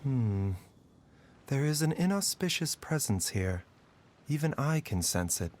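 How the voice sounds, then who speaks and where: An actor playing a composed, shrewd healer in a video game, measured and articulate, gently commanding. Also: male voice, medium pitch, sing-song. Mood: grave, pondering. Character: composed, shrewd adult male healer, quietly watchful.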